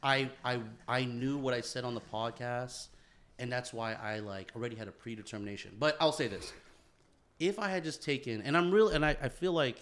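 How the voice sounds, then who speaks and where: A man's voice: medium pitch, a little fast, fairly bright tone. A young man co-hosting a podcast in casual chat, rambling and swearing, often laughing and repeating himself. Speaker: podcast co-host.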